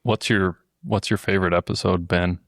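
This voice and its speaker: A man with a very deep voice talks as a guest on a podcast, easygoing and measured. He is on a close mic with a dry sound and no room echo. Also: fairly even intonation, a bit bright. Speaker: podcast guest, business co-founder. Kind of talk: casual conversation.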